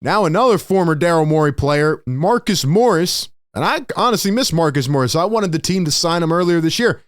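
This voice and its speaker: A man hosting a sports reaction show, reacting excitedly and sounding slightly hoarse from yelling. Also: a little high, brisk, no room sound, studio quality. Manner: excitable, animated exclamations. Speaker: sports podcast host. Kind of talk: live reaction commentary.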